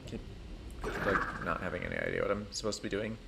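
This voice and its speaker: A man chatting casually on a gaming stream, thinking aloud in a halting, rambling way with dry, self-deprecating humour. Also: lowish voice, huge pitch swings, gravelly, heavy background noise. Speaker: livestreamer playing a building game.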